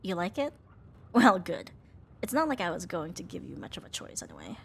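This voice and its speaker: A woman's voice: fairly low pitch, wildly swooping pitch, brisk, fairly bright tone, full character voice. Woman playing a flustered, affectionate young tomboy roommate. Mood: soft, affectionate. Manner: hesitant, teasing, breathy sighs and nervous laughs. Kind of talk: intimate ASMR romance roleplay.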